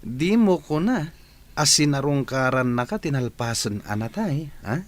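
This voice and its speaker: A man playing a practical, reassuring character in an audio drama, talking in an expressive, conversational way. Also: mid-range voice, a little slow, lightly acted. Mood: teasing, dismissive.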